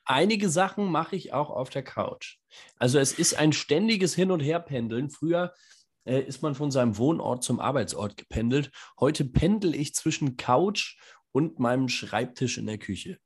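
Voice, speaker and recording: A man co-hosting a podcast from his home kitchen, hoarse and scratchy from a cold, chatting casually with dry, teasing humor. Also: medium pitch, expressive, normal speed. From Northern Germany. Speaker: podcast co-host. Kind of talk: casual chat. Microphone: home mic in a kitchen.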